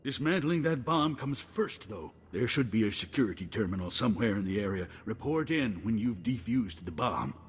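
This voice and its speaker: An actor playing a pompous politician in a video game, with grandiose, theatrical patter. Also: male voice, lowish voice, lively intonation, normal speed, few pauses, noisy background, natural voice. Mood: brisk, self-important. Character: pompous, smooth-talking male politician, a senator. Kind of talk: video game cutscene acting.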